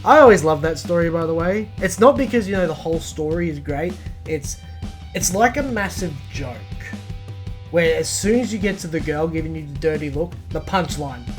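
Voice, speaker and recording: A man's voice: a little high, expressive, moderate pace, soft background music. An Australian man co-hosting a podcast with informal, off-the-cuff, sweary chat. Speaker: podcast co-host.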